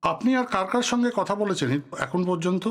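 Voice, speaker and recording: An actor playing a guarded, courteous local politician with an authoritative manner in an audio drama. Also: male voice, sits high, brisk. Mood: authoritative, helpful. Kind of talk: Bengali audio drama acting.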